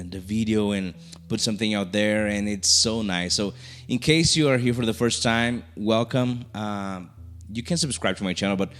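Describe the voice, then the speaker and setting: Brazilian man, low voice, halting. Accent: Brazilian Portuguese. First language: Portuguese. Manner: halting, many restarts and fillers. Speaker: YouTuber. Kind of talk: casual talk to camera. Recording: soft music underneath.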